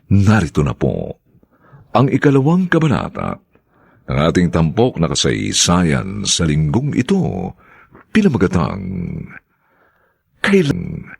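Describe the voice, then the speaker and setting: A man with a Filipino accent and husky voice, giving solemn, theatrical scripted narration as a radio drama host. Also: deep voice, wildly swooping pitch. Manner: theatrical, solemn, drawn-out phrases.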